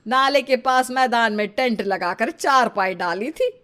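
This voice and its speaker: A woman's voice: on the high side, unhurried, a bit bright, clean tone. A woman voicing a blunt, exasperated older mother-in-law who scolds plainly in a comic story. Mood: scornful.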